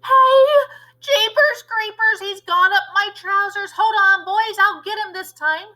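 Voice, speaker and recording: A frantic, foolish male kitchen cook from a storybook, acted out by a woman reader. The voice leaps very high into loud, piercing shrieks, with every exclamation full of panic. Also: a little slow, heavily acted. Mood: startled, determined.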